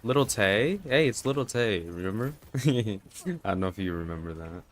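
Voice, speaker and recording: A man with a slightly low, congested voice talks through a live gaming stream. He rambles and swears casually, filling the gaps with "like" and "you know". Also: expressive, normal speed. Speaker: livestreamer. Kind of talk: casual live gameplay commentary.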